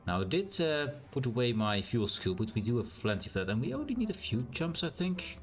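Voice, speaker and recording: A man with a naturally loud voice and a strained, sore throat, thinking aloud in a rambling way as he streams a game. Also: mid-range voice, very animated, a little fast, soft background music. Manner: rambling, thinks aloud with fillers and self-corrections. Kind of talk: casual live-stream gameplay commentary.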